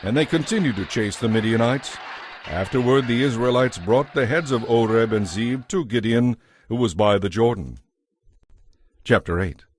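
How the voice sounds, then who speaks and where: A man narrating a scripted audio Bible as a measured, solemn storyteller. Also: medium pitch, slight background noise.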